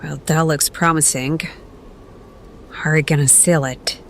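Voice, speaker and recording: A woman's voice: low voice, fairly even intonation, unhurried, slight background noise. A woman playing an observant, questioning companion on a fantasy quest in an audio drama. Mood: curious.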